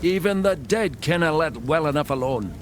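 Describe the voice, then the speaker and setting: An actor playing a gruff, blunt, impatient warrior with archaic rustic phrasing in a fantasy video game. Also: male voice, on the high side, lively intonation, moderate pace, slight background noise, natural voice. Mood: exasperated.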